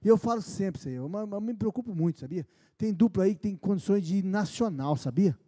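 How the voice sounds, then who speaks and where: High-voiced brazilian host calling out fans. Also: male voice, expressive, a little fast, dark tone, big-room sound. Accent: Brazilian Portuguese. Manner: folksy, exclaiming, greets viewers by name. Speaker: TV show host. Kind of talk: casual studio chat and shout-outs. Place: TV studio set dressed as a country bar. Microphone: handheld mic.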